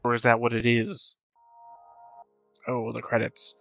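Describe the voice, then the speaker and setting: A man giving unscripted reaction commentary as a host, rambling as he thinks out loud. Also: mid-range voice, completely flat delivery, a little slow, creaky, faint music behind.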